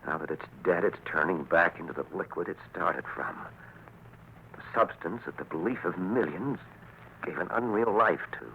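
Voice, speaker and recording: An actor playing an anxious but brave scientist in a 1940s radio drama, with an urgent, dramatic delivery. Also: male voice, low voice, moderate pace, vocal fry, narrow, tinny audio. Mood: grim. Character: anxious brave man, a scientist battling a lab monster. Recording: noisy vintage 1940s radio recording.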